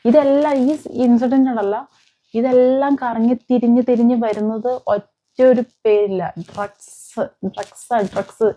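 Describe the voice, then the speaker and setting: Mellow higher-pitched woman, emphatic commentator. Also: fairly even intonation, measured pace, low-quality recording. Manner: emphatic, repeats phrases and asks rhetorical questions. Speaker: vlogger, host. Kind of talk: opinionated crime commentary to viewers.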